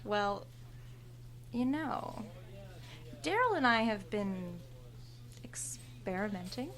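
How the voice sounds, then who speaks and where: An actress playing a sardonic, self-assured store cashier of thirty in a horror audio drama. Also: female voice, mid-range voice, big pitch swings, slow, fairly bright tone. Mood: scheming, sly. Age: 30s. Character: brazen, entitled thirty-year-old store cashier, sly confidante.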